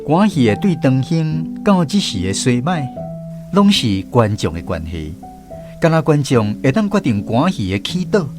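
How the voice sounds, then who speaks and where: A Taiwanese man tells a scripted story as a radio host. His medium-pitched voice moves through lively rises and falls, with clear instrumental music playing behind him. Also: moderate pace.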